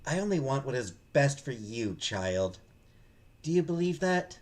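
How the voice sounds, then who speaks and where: A man's voice: medium pitch, big pitch swings, unhurried. A man voicing a manipulative, scolding mother who feigns care in a visual novel. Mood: coldly coaxing. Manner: scolding, controlling, falsely caring. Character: abusive, manipulative mother in a young woman's head.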